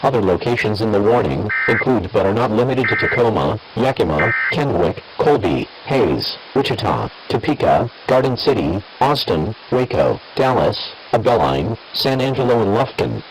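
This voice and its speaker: A man reading scripted emergency alerts as an announcer, in a formal, synthetic-sounding monotone. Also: on the low side, normal speed, few pauses. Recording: noisy radio broadcast with hiss.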